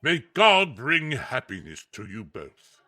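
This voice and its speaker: An actor playing a jovial, pompous middle-aged aristocrat in a period audio drama, with a declamatory manner. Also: male voice, medium pitch, wildly swooping pitch, slow pace, very noisy. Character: pompous, jovial aristocratic father, French royalist marquis. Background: music.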